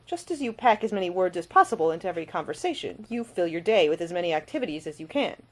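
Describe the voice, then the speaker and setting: A woman plays a roguish gentleman of leisure in an audiobook. He is suave and cheerfully teasing, with formal phrasing and an amused drawl. The intonation swings in a lively way. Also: fairly low pitch, fast talker. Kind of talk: audiobook character dialogue.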